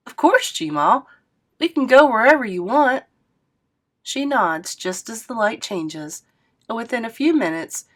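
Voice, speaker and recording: A woman reading a middle-grade novel aloud, narrating with expressive lifts for emphasis. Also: mid-range voice. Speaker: read-aloud host.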